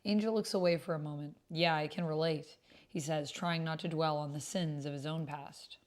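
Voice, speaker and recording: A woman's voice: low voice, fairly even intonation, a little fast, a little mellow. A woman who hosts a roleplay session, reading text aloud expressively and adding casual commentary. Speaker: solo tabletop roleplay player and host.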